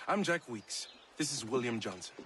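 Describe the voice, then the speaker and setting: A man's voice: mid-range voice, expressive, moderate pace, fairly bright tone, lightly acted. A man acting a direct, confident soldier. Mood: friendly. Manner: direct, declarative. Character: confident, plain-spoken soldierly comrade in arms. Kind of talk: video game cutscene acting. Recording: faint background noise.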